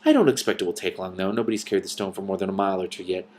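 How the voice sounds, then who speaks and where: A man's voice: sits low, very fast, darkish tone, natural voice. A man voicing an officious, easily annoyed dragon with a blunt, dismissive manner in a storybook reading. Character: gruff, officious olive-green male dragon, easily annoyed.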